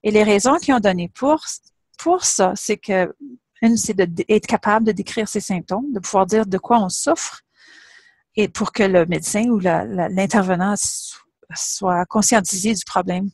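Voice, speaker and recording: A woman's voice: mid-range voice, darkish tone, husky, no room sound. Woman presenting research. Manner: explanatory, measured, lays points out in order. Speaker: university professor presenting research. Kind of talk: webinar presentation.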